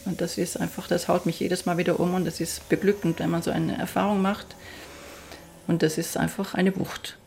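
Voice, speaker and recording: A woman's voice: fairly low pitch, level tone, moderate pace, creaky, a little mellow, a little hiss or hum. A middle-aged woman who grew up in Austria, a violinist speaking reflectively and with enthusiasm about her work in a documentary interview.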